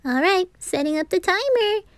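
A woman's voice: extremely high pitch, dry recording, pitched above the performer's own voice, exaggerated voice. A woman playing a perky, teasing teenage pop-idol girl in a hobby anime audio drama. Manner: bubbly, teasing.

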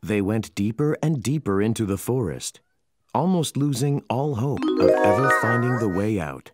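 A narrator telling a children's fairy tale in an expressive, scripted storytelling style. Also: medium pitch, normal speed, faint music behind.

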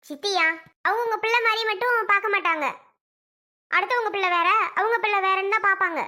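A woman's voice: extremely high pitch, fairly quick, pushed and bright. A woman voicing an overprotective, nagging mother in a family drama, accusing and repeating herself.

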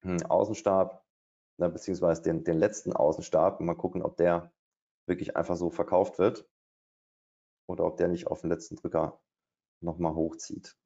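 A man's voice: deep voice, little pitch movement, normal speed, mellow. A middle-aged man doing live trading commentary at his desk, thinking aloud with hesitations and fillers. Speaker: day trader. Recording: dull, lo-fi stream audio.

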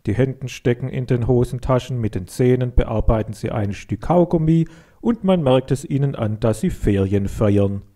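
Mellow male children's story narrator. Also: medium pitch, normal speed. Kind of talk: expressive scripted audiobook narration for children.

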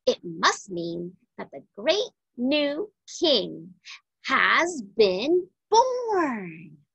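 A woman voicing excited wise men full of wonder in a children's storybook read-aloud. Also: fairly high pitch, extremely slow, gravelly, husky, no echo, highs cut off, full character voice. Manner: exclaiming, wide-eyed wonder. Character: excited wise men marveling at a bright star.

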